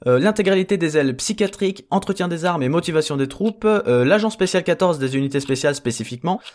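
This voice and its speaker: A man's voice: a little high, expressive, fast talker, darkish tone, lightly acted. A man playing a flustered, sarcastic henchman clone, rambling and exasperated in a comedic audio drama. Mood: flustered, defensive.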